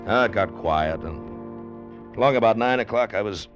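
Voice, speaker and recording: A man's voice: lowish voice, animated, unhurried. An American actor playing an easygoing, wry barnstorming pilot who tells his story in casual slang in a 1940s radio drama. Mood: wry storytelling. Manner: casual, colloquial, folksy slang. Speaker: actor and narrator. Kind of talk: 1940s radio drama acting with first-person narration. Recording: dull vintage radio recording with loud music.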